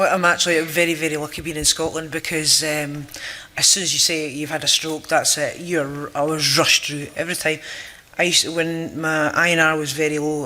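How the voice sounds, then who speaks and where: Bright, flat-toned chatty man. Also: fairly high pitch. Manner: chatty, joking. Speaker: patient panelist. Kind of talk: casual panel talk.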